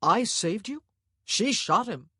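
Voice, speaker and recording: A woman voicing a proud, unapologetic gentleman of thirty in a period romance audiobook, formal and defiant. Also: medium pitch, expressive, slow, slightly rough, dry recording, studio quality, voice pushed up, heavily acted. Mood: wry.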